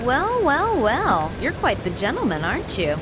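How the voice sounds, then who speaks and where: A woman's voice: sits high, wildly swooping pitch, normal speed, music in the background, rough recording. An actress playing a confident, teasing woman adventurer in a video game. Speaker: voice actor. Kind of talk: scripted video game cutscene acting.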